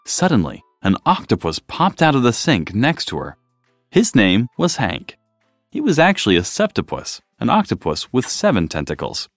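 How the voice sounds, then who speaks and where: Very animated male storybook narrator. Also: medium pitch, moderate pace, no echo, clean studio sound, faint music behind. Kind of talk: expressive children's read-aloud narration.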